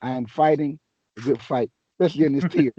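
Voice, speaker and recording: A man hosting a casual sports chat, a little raspy from a sore throat, talking in a laid-back, joking way with many restarts. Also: medium pitch, fast talker, mellow, no echo, highs cut off. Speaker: podcast host.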